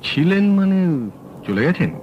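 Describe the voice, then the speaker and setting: A brisk young detective on old radio. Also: male voice, high voice, sing-song, noisy background. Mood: calm, probing. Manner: brisk, probing questions. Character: sharp young male private detective. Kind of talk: 1970s radio drama acting. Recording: dull old radio broadcast.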